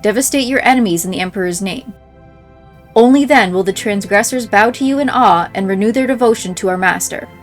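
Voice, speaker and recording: A woman reads out in-game messages in a scripted narrating style. Her voice sits at a middle pitch. Game music plays softly behind her. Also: brisk. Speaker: game playthrough creator reading in-game mail aloud.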